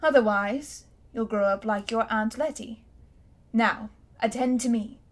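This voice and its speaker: A woman's voice: on the high side, unhurried, close to an everyday voice. A woman voicing a pompous, cruel elderly male magician who talks down in a lofty, blustering way. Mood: haughty.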